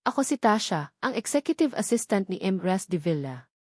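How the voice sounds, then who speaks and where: A woman's voice: medium pitch, big pitch swings, moderate pace, darkish tone, breathy edge, dry recording. A woman storyteller plays a haughty executive assistant in a narrated drama. She is stern and commanding, scolding with cold menace. The sound is dry, with no room around it.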